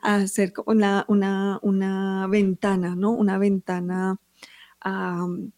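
Woman interviewer, mellow, rambling. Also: mid-range voice, completely flat delivery, slow. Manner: rambling questions full of tag questions.

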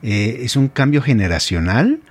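A middle-aged man hosting a radio interview, reflective and halting, with frequent fillers. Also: medium pitch, sing-song. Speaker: radio host.

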